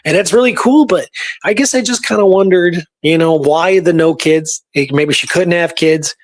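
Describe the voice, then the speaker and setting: Male history show host, voice a bit on the high side, informal and rambling, moving between notes and off-the-cuff talk. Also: normal speed. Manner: rambling, informal, thinking aloud. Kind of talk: casual history storytelling, partly reading from notes.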